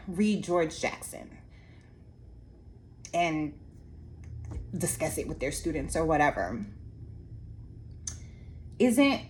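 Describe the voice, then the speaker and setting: A woman who trains art teachers, losing her voice and sounding hoarse, talking slowly with many restarts. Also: on the low side, a little hiss or hum, poor audio. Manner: slow, halting, many restarts and fillers. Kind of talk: reflective casual monologue to viewers. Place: Anchorage area after workshops.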